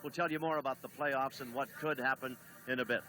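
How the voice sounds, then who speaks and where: Brisk 1970s TV soccer announcer, man. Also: mid-range voice, fairly even intonation, background noise. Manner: brisk, reads lineups and stats. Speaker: play-by-play announcer. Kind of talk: 1970s TV sports broadcast.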